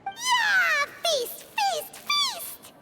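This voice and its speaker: A woman voicing a small, excitable childlike fairy companion in a video game, with music behind her. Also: extremely high pitch, a little slow, very noisy, heavily acted. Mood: excited. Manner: exuberant, speaks of herself in third person. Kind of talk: animated video game acting.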